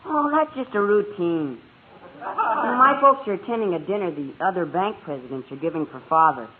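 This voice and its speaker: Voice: medium pitch, expressive, moderate pace, lightly acted. An actor voicing a cheeky young boy peddling Christmas trees with wheedling salesman patter in an old radio comedy. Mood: slyly confident. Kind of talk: 1940s-50s radio comedy acting. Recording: tinny noisy old radio, studio audience.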